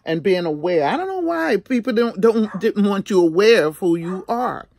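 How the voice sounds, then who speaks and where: A woman in her sixties telling a personal story in a casual, chatty vlog style, animated and emphatic, often repeating herself for effect. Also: medium pitch, normal speed, creaky. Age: 60s.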